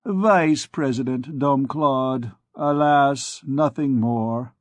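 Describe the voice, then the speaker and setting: A man's voice: mid-range voice, extremely slow, no echo. A man reading a crafty royal physician. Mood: modest. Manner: grumbling, self-pitying. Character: crafty, harsh male royal physician, about fifty. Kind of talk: audiobook dialogue.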